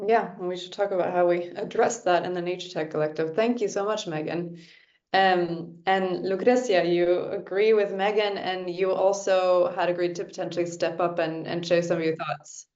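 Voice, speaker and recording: A woman's voice: on the low side, fairly even intonation, moderate pace, darkish tone. A woman moderating an online discussion, politely thanking speakers and handing over the floor. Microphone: video call. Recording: echoey, muffled video call audio.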